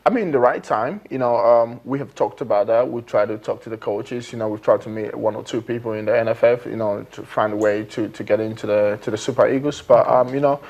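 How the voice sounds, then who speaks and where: A man in his twenties from Nigeria, a footballer being interviewed in a TV studio, who talks in halting phrases full of fillers and restarts. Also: medium pitch, creaky, darkish tone, highs cut off. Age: 20s. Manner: hesitant, many fillers and restarts. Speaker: guest footballer.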